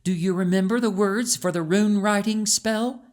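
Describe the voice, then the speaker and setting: A man voicing an earnest, vulnerable young girl in expressive storybook dialogue. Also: high voice, moderate pace, voice pushed up, close to an everyday voice. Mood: eager, unsure.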